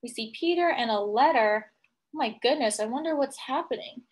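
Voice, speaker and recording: A woman teacher reading a picture book to young children, explaining it as she goes and thinking aloud in an animated way. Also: on the high side, normal speed.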